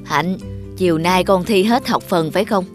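A woman's voice: lowish voice, moderate pace, over music, natural voice. A woman playing a caring, mildly exasperated middle-aged mother in a cartoon. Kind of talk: Vietnamese cartoon dialogue.

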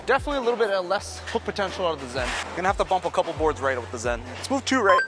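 A man in his thirties giving relaxed running commentary while bowling in a large indoor bowling alley, talking through each shot and adjustment. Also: sits high, very fast, music in the background. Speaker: bowling ball reviewer.

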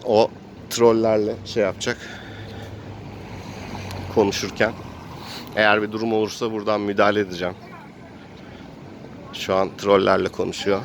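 Playful man role-playing amid clear outdoor noise. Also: lowish voice, flat delivery, moderate pace, pauses often. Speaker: game master and player in a role-playing game. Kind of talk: casual improvised role-play narration.

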